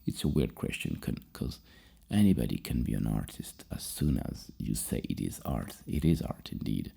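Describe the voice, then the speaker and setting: A very low, heavily creaky voice speaks softly as an ASMR therapist reading out questions. The tone is extremely dark and husky, and the delivery is halting and thoughtful, with repeated words. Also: sing-song. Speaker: ASMR roleplay host as psychologist. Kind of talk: soft-spoken ASMR questionnaire roleplay.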